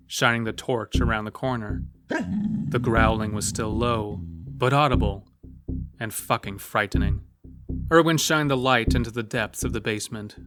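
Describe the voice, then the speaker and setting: A man narrating a scripted horror story. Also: on the low side. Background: soft music bed.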